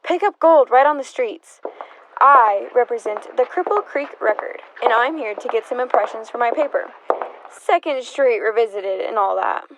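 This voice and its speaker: A woman's voice: a little high, animated, moderate pace, noisy background. A young woman acting a brash, nosy, slangy frontier reporter in an audio stage play, bold and pushy. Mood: breezy, pushy. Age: young adult.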